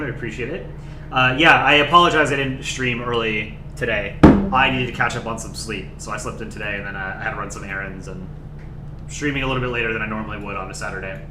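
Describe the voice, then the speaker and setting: A young man hosting a casual livestream, chatting in a relaxed, rambling way and thanking viewers by name. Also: mid-range voice, echoey room, background noise. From United States. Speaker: livestream host. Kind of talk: casual chat.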